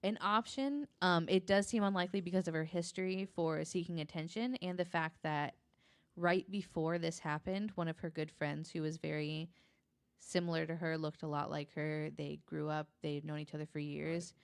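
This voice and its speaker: A woman guest on a podcast, casually recounting a case in conversation, often pausing mid-thought. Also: low-pitched, steady tone, clear, resonant tone. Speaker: podcast guest telling a true-crime case.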